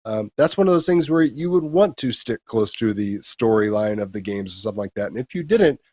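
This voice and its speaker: A man's voice: on the low side, animated, normal speed, a little mellow, dry recording, studio quality. A man co-hosting a gaming news show, chatting casually with blunt, sarcastic opinions. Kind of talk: casual chat and opinionated commentary.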